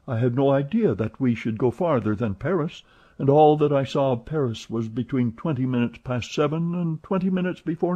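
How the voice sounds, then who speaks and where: A man voicing an excitable, chatty young French manservant who talks eagerly and protests often, in a dull lo-fi recording. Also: mid-range voice, voice pushed up, lightly acted. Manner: eager, exclamatory, quick to protest.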